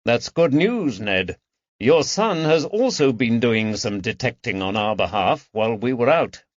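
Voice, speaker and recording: A man voicing a calm, perceptive Victorian detective with a gentle, measured way of talking, in a dull, dry recording. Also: mid-range voice, dry recording, natural voice. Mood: pleased.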